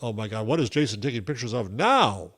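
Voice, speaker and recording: A man's voice: medium pitch, lively intonation, brisk, a bit bright. An older man co-hosting a podcast in casual chat, wry and digressive, telling stories. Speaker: podcast co-host.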